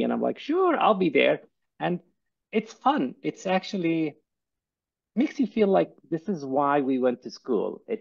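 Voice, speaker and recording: A man whose first language is Arabic talks earnestly about his work as a pharmacist, often stumbling and repeating words as he goes. His voice carries a gravelly edge, and the sound is dull and lo-fi, coming through a headset on a video call. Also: medium pitch. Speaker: pharmacist and pharmacy owner, guest. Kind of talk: conversational interview. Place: office.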